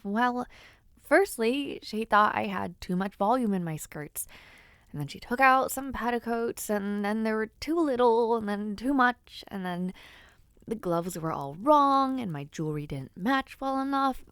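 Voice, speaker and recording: Woman playing a slow-spoken, bashful young noblewoman. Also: on the high side, animated, clear, resonant tone, no room sound, clean studio sound. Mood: playfully exasperated. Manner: playful, hesitant, with pauses and sighs. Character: teasing, bashful young noblewoman before her debut ceremony. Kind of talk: intimate audio roleplay, one-sided conversation.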